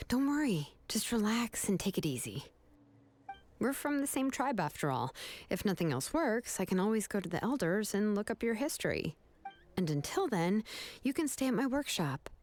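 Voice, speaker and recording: An actress playing a caring, laid-back young artisan woman in a video game, speaking in a relaxed, reassuring manner. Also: medium pitch, normal speed. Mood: warm, reassuring.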